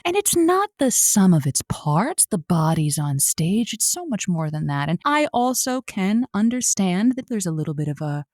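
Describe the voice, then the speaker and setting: A woman's voice: medium pitch, very animated, moderate pace, hardly any pauses, darkish tone, dry recording, clean studio sound. A woman playing an eager, soothing radio advice host on a comedy call-in show, theatrical and indulgent, sometimes hesitant. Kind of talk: improvised comic advice show.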